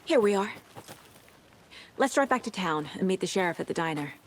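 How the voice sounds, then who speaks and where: A woman's voice: mid-range voice, expressive, fairly quick, lightly acted. A woman playing a composed, driven FBI agent in a video game, speaking in a focused, analytical, matter-of-fact way. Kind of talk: cinematic video game acting. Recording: clear background ambient noise.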